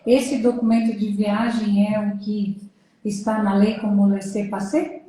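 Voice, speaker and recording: A woman's voice: medium pitch, little pitch movement, measured pace. Older Brazilian woman in an echoey room. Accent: Brazilian Portuguese. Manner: warm, encouraging, with frequent backchannel murmurs. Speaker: interviewer, retired judge and lawyer. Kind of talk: conversational interview. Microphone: video call.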